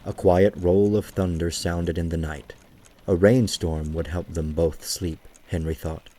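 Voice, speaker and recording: A man with a very low, mellow voice narrates a scripted story. His tone is dark and husky, and he tells it in a measured, gentle way, as an audio drama narrator. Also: steady tone.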